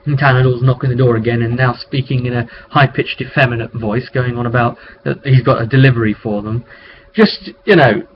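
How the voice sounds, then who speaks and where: A man with an average-pitched voice talks through a roleplaying session as its game master. His delivery is unscripted, conversational and rambling. The sound is a muffled webcam recording with faint noise behind it. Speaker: tabletop game master hosting a session recap vidcast. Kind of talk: casual off-the-cuff storytelling recap of a roleplay session. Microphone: webcam microphone. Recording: dull lo-fi webcam audio with noise.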